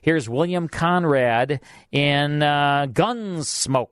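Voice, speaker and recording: American man hosting radio, higher-pitched voice. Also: drawn-out words, clean tone, no echo, clean studio sound. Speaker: radio show host. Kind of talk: casual radio banter and introductions. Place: radio studio.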